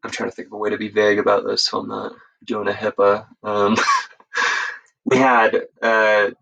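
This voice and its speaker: Low, gravelly man telling stories casually. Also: flat delivery, bright, forceful tone, muffled recording. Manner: halting, self-correcting, informal. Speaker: guest, emergency medical worker sharing stories. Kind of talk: casual storytelling.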